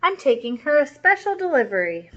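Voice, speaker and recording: A woman voicing a small, eager young male scooter with a squeaky, excited voice in a read-aloud storybook. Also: a little fast. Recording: muffled lo-fi recording.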